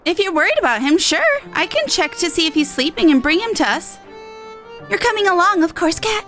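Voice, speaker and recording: Mischievous game pixie: very high, swooping, playful delivery. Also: female voice, sing-song, brisk, bright, forceful tone, faint music behind, exaggerated voice. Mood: teasing. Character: mischievous, teasing little pixie.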